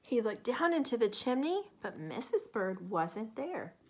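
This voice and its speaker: Female picture-book reader, high voice, very lively intonation, engages young listeners. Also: husky. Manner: animated, deliberate, asks the listener questions. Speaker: children's story reader. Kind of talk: expressive picture-book read-aloud with asides to young listeners.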